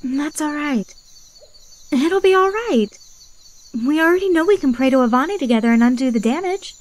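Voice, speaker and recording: High-voiced, soothing druid's wife played by a woman. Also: normal speed. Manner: calm, reassuring. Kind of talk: fantasy audio drama acting.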